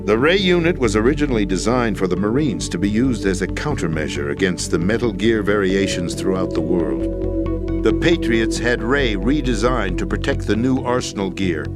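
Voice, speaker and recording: An actor playing a weary, formal deposed president and schemer in a video game, explaining things in a measured, deliberate way. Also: male voice, fairly low pitch, animated, loud music behind the voice, natural voice. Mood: grave, ironic. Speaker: video game character in a cutscene. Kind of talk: dramatic expository video game acting.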